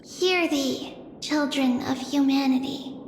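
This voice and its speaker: A woman's voice: sits high, slow, slight background noise. A woman playing a solemn female oracle in a video game, pronouncing judgment in a ceremonial, formal way. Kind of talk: English video game voice acting. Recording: echoey hall reverb, faint music behind.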